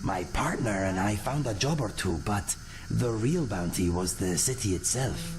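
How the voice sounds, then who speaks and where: A man's voice: fairly low pitch, expressive, normal speed, background noise. A man voicing a witty ex-bandit companion in a fantasy video game, with a dry, theatrical delivery. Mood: wry. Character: witty, roguish male ex-bandit adventurer, wry and loyal. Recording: music behind the voice.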